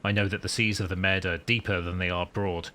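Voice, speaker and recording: A man's voice: deep voice, level tone, fairly quick, pushed and bright, slight background noise, voice pushed down. A man voicing a wry, newly promoted wartime submarine commander, narrating his story in formal, dry understatement. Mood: proud, reflective. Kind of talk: scripted first-person story narration.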